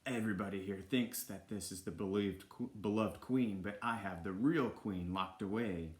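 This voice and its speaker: A man voicing a pompous, scheming storybook villain who gives commands in a menacing, drawn-out way. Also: fairly low pitch, a little mellow, reverberant, close to an everyday voice. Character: scheming, pompous male villain plotting to be king.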